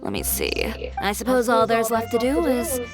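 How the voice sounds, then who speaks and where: A woman's voice: a little high, huge pitch swings, moderate pace. A woman playing a confident, composed young fashion designer in a video game, speaking with assured determination. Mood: determined. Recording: loud music behind the voice.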